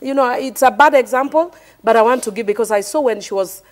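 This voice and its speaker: A woman's voice: fairly high pitch, fairly quick. A Kenyan woman on a televised panel, arguing her point with passion and repeating it insistently. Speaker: member of parliament, panel guest. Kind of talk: heated panel debate.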